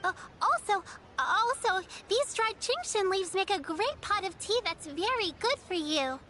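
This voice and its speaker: A woman's voice: extremely high pitch, animated, a little slow, bright, forceful tone, a little hiss or hum, heavily acted. A woman voicing a sweet, dutiful little girl apprentice in a video game, earnest and politely fussing. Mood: caring.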